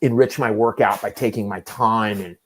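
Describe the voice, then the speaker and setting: A man's voice: on the low side, steady tone, normal speed, fairly bright tone, slight background noise. A man in his fifties telling long, self-mocking stories in a casual chat as host. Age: 50s. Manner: rambling, self-deprecating storyteller.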